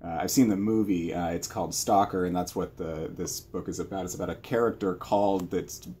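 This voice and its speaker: A man talking casually about books as a channel co-host, in a hesitant, self-correcting way with a husky voice. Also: deep voice, fairly even intonation, fairly quick, mellow, a little hiss or hum. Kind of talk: casual book chat.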